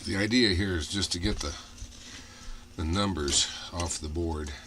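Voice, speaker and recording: A man giving casual, off-the-cuff hands-on commentary in a halting way, as if muttering to himself while he inspects things. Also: sits low. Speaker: hobbyist vlogger showing old computer hardware. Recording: clear background noise with room ambience.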